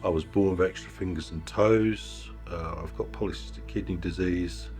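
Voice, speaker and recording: A man in his fifties speaking reflectively and wryly in a documentary interview, pausing as he recounts his experiences. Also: sits low, fairly even intonation, a little mellow, soft background music. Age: 50s. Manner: reflective, wry, measured with pauses. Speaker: interviewee, musician and sound engineer.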